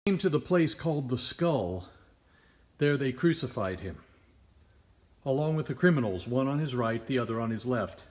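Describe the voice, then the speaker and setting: A man's voice: medium pitch, lively intonation, normal speed, long pauses, darkish tone. A man giving a solemn scripture reading as a church member at a service.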